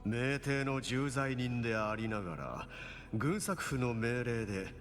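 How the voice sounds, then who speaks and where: A man's voice: medium pitch, expressive, moderate pace, lightly acted. Man acting a theatrical, smug villain in reverb. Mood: mocking. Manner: mocking, theatrical, composed. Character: smug male villain, a shapeshifting cleric. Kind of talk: Japanese game voice acting. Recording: long hall reverb, game music behind. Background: game music.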